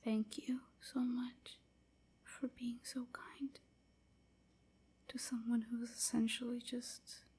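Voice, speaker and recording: A woman playing a gentle, wounded vampire slave in an intimate ASMR roleplay, whispering in a breathy, halting, submissive way. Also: fairly high pitch, level tone, full character voice. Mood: tender, grateful. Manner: slow, halting, hushed confiding.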